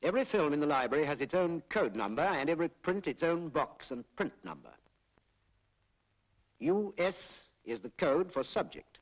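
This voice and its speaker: Man, British accent, slightly high voice, formal measured narration, 1940s public information film, period soundtrack. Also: big pitch swings, low-quality recording. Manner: formal, measured explanatory delivery. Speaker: documentary narrator.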